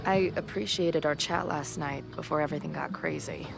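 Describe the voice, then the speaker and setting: A woman's voice: low voice, a little fast, creaky, poor audio. A woman playing a wry, glib young amateur sleuth in a video game, naturalistic in delivery. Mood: friendly. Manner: sardonic, glib, quippy. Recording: music under the voice.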